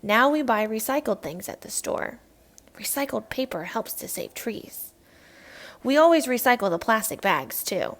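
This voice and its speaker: Lady reading a kids book out loud, expressive, voice a bit on the high side. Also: normal speed. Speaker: read-aloud narrator.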